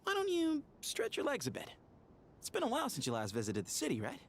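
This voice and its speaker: A man's voice: very high voice, wildly swooping pitch, quick pace, slight background noise, heavily acted. A man voicing a breezy, teasing young male ranger mentor in a video game, with a casual, playful manner. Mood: easygoing, chiding.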